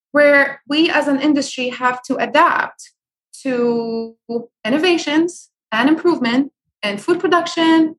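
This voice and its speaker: High-voiced young woman from Syria. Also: a bit bright, poor audio. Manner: earnest, advice-giving, frequent ums and restarts. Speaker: guest, food blogger. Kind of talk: conversational interview.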